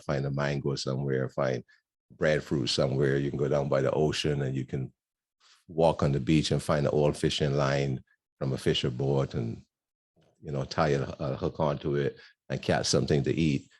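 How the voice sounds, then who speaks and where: An older man from Barbados, a guest speaker telling his life story in a rambling, storytelling way with many pauses. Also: rumbling low, breathy edge. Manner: warm storytelling with frequent ums and laughs. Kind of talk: personal testimony talk.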